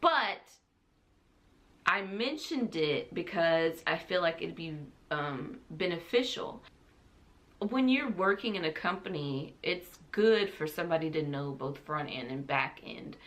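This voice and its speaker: A woman's voice: lowish voice, lively intonation, measured pace, fairly bright tone. A young adult woman chatting casually to camera as a vlogger, rambling in a giggly, self-deprecating way.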